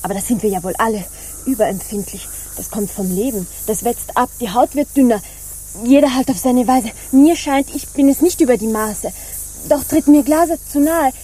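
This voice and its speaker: An actress playing a touchy, prim female pirate in a radio drama, formal, halting and indignant. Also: a little high, dark tone, breathy edge. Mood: agitated. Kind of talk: German radio drama acting.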